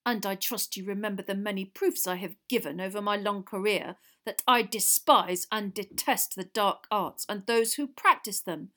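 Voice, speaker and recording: A stern senior wizard gentleman from a storybook, acted by a woman. He speaks formally and forcefully with cold indignation. Each word is clipped and severe. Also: mid-range voice, normal speed, hardly any pauses, lightly acted. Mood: furious.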